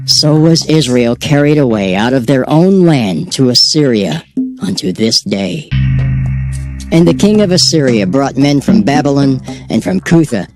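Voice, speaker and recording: Male narrator, dramatized scripture, measured solemn delivery, expressive pitch, loud music bed. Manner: measured, solemn reading cadence.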